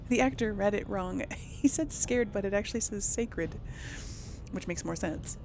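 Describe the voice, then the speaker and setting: A woman's voice: medium pitch, lively intonation, unhurried, background noise. A woman hosting a casual role-play gaming video, talking in an easygoing way to herself and her audience. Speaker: gaming host playing and commenting. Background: game music.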